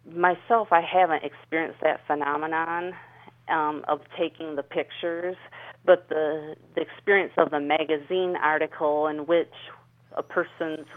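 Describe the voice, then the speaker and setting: A woman speaking as an interview guest on a radio show, explaining herself earnestly over the telephone. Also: low voice. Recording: tinny narrow phone line.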